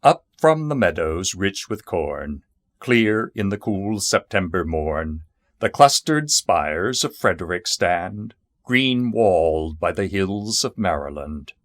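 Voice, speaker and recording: Slow, low-voiced man reciting poetry. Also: big pitch swings, dry recording. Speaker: poetry reader.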